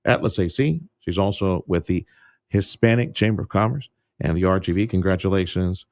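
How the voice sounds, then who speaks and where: A man hosting a radio talk show, speaking in a relaxed, folksy way with stumbling restarts. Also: low-pitched, brisk, no echo, studio quality. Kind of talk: casual radio interview. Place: radio studio. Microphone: studio microphone.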